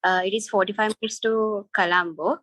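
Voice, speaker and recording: A woman with a Sri Lankan accent, a podcast guest answering in halting speech, searching for words. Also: mid-range voice, steady tone, fairly bright tone, dry recording. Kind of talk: casual interview chat.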